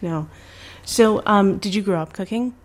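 A woman's voice: mid-range voice, a little slow, slight background noise. A woman hosting a podcast interview, asking questions in a friendly conversational way. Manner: conversational, affirming, light laughs. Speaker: podcast host.

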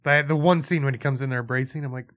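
A man's voice: medium pitch, steady tone, fast talker, fairly bright tone. Blunt man teasing on a podcast. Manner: blunt, teasing, crude jokes. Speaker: podcast co-host. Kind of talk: casual movie review chat.

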